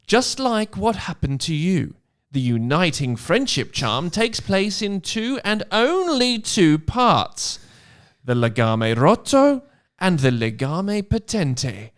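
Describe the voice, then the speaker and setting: An earnest schoolgirl witch, put on by a man, lectures on magic in a comic storybook reading. The voice sits on the high side and moves very freely up and down, with deliberate, emphatic explanations. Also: very animated, exaggerated voice. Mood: earnest, explanatory.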